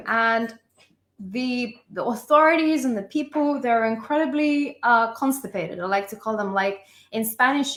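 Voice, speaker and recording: Passionate woman coaching in fervent lists. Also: fairly high pitch. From Lithuania. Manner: emphatic, impassioned delivery with lists of repeated phrases; addresses listeners warmly as sisters and loves. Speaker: relationship coach. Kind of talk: live online masterclass talk.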